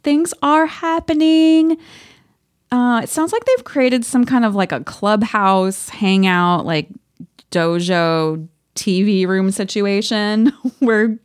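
A woman co-hosting a podcast, chatting casually and reading aloud from a diary in an expressive, playful way. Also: medium pitch, takes it slowly, dry recording, studio quality. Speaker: podcast co-host.

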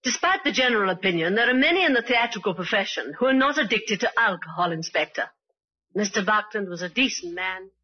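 An actress playing an imperious, proud head of a theatre company in an old radio drama, formal and commanding. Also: female voice, mid-range voice, animated, brisk, slightly rough. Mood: haughty, composed. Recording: dull old radio recording.

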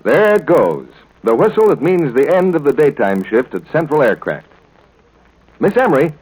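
A man's voice: a little high, big pitch swings, normal speed, darkish tone, faint music behind, rough recording. A man working as announcer and interviewer, reading in a polite, polished, scripted 1940s radio style. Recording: vintage 1940s radio recording.

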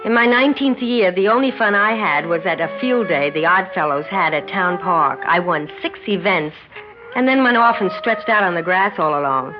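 An actress playing a brash, wounded nineteen-year-old tomboy athlete in a 1960s radio drama, blunt, slangy and defensive. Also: female voice, fairly low pitch, normal speed, close to an everyday voice. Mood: wry, hurt. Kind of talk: 1960s radio drama acting with first-person narration. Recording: muffled old radio recording with music.

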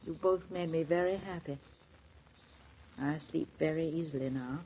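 A woman's voice: deep voice, normal speed. An actress playing an older, fondly teasing mother in a 1940s radio drama, with dry, wry humor. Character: older mother-in-law, gently teasing, fond. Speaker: Claudia's mother. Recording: dull old radio recording with faint hiss.

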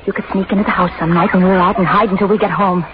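An actress with an American accent playing a scheming, insistent wife in a 1940s radio thriller, pressing and coaxing in a dramatic manner. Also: female voice, medium pitch, fairly even intonation, rapid-fire, natural voice. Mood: coaxing, manipulative. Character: scheming, manipulative femme fatale wife, hard and insistent. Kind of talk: 1940s radio suspense acting. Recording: muffled, very noisy 1940s radio recording.

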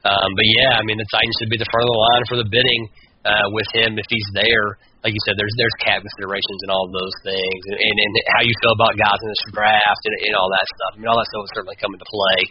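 Man, muffled recording with clear noise, lowish voice, casual sports analysis, halting delivery, podcast host. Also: level tone. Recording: muffled, clearly noisy, radio-like audio.

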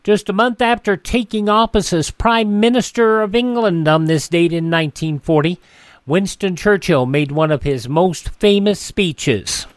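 A man presents as a radio host, reading scripted dated facts and introductions. His voice sits on the high side for a man. The sound is dry and close, with no room around it. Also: unhurried, no room sound. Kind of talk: scripted on-air narration of history facts and show introductions.

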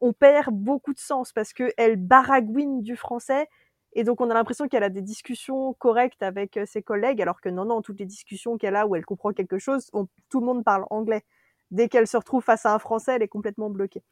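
A chatty woman from France talks with a slightly high voice. She is animated and laughs easily. The recording is close and dry, with no room sound. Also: fast talker, no echo. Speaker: co-host reviewing a series. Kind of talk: casual podcast chat.

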